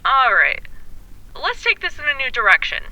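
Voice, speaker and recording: A woman's voice: sits high, wildly swooping pitch, moderate pace, bright, forceful tone, heavily acted, low-quality recording. A woman playing a tough, weary sheriff with a blunt, commanding manner in an audio drama. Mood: stern, probing. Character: tough, weary female sheriff interrogating a prisoner. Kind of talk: post-apocalyptic audio drama acting.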